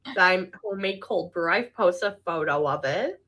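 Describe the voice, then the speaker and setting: Giggly bright woman podcast host. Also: on the low side, normal speed. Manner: relaxed, giggly, candid. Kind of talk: casual podcast chat.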